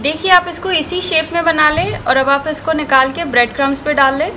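A woman host giving calm step-by-step recipe instructions in a voice-over, mixing in English cooking words. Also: high voice, brisk. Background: background music.

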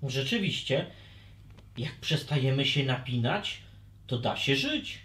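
A man playing a gentle, easygoing dragon with a mild manner in a children's audio fairy tale. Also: mid-range voice, very animated, slow, reverberant. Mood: content.

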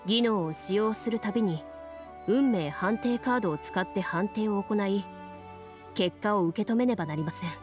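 A woman voicing a composed young game master in an anime video game, speaking formally and deliberately over music. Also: medium pitch, lightly acted. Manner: calm, formal, deliberate explaining. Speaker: game master narrating a tabletop game. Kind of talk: anime video game acting, storytelling narration. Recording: music under the voice.

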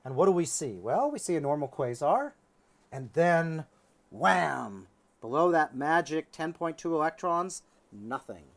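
A man's voice: a little high, very animated, unhurried. A middle-aged man giving a conversational science lecture as a lecturer, explaining as he thinks aloud. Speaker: astronomy lecturer. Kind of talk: conversational science lecture in a two-person dialogue.

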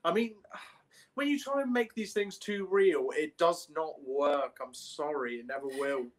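A man's voice: a little high, measured pace. A young man co-hosting a podcast, chatting in an informal, tentative way. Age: young adult. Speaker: podcast co-host. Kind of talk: casual discussion. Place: indoor room at home, on video call.

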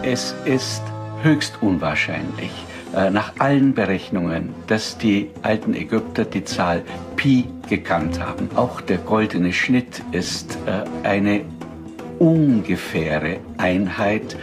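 A man answering as an interviewed expert, speaking haltingly with hesitations. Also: on the low side. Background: music behind the voice.

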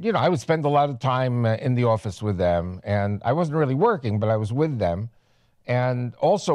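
A man in his seventies, a guest in a studio interview, talking at length in a rambling way and halting often on fillers. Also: mid-range voice, darkish tone, no echo. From United States. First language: Spanish. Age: 70s.

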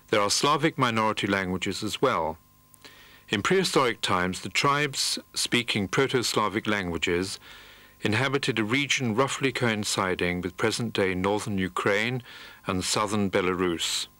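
A man reading scripted documentary narration in a measured, formal way. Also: lowish voice, sharp tone, no room sound. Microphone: studio voice-over.

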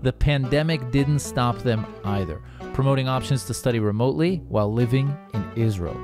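A man in his thirties hosting a podcast, reading an upbeat, emphatic sponsor spot and introducing a guest. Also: medium pitch. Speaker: podcast host. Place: home studio with bookshelves. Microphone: studio mic on boom arm, headphones. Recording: music under the voice.

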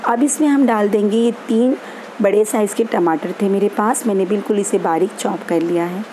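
A woman hosting a home cooking channel, calmly explaining each step of a recipe in an easygoing, instructive way. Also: mid-range voice, moderate pace, a little hiss or hum, poor audio. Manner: easygoing and instructive, repeats steps. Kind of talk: home cooking tutorial voice-over. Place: home kitchen.

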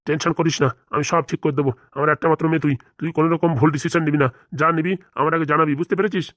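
A man's voice: fairly high pitch, fairly even intonation, rapid-fire, fairly bright tone, dry recording, studio quality, close to an everyday voice. A man playing a stern, protective middle-aged father-in-law who lectures insistently in a comic cartoon. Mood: reassuring, protective. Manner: insistent, lecturing, rhetorical questions. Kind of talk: comic cartoon drama dialogue.

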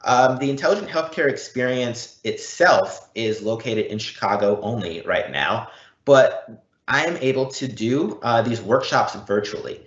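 A man's voice: mid-range voice, expressive, measured pace, fairly bright tone. A man presenter giving technical explanations and answering audience questions in an online session. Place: indoor room, live online event. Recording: dull, roomy online call audio.

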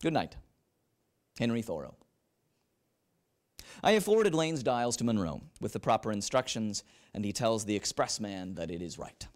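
A man's voice: on the low side, sing-song, moderate pace, long pauses. A young man giving a dramatic reading of historical letters into a handheld microphone at a lectern. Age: young adult. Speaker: reader at a literary event. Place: library event room with seated audience.

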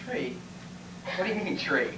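A nervous, blustering patient acted by a man stammers and objects his way through an amateur stage comedy. His pitch swings widely. He is heard from far off in an echoey classroom. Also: very animated, a little slow. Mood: nervous, rambling. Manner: stammering, protesting, comic exaggeration. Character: nervous, evasive male patient at a medical exam, blustering. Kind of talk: amateur comic stage acting. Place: classroom stage before small audience, far camera. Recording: distant, echoey, noisy camcorder in classroom.

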